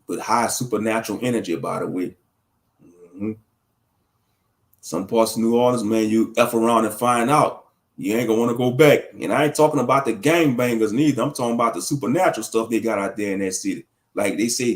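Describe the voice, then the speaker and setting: A man's voice: medium pitch, fairly quick. Dude rambling on his livestream, slangy and kinda preachy, chuckles at his own bits. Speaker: livestream commentator. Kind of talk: casual livestream talk answering chat.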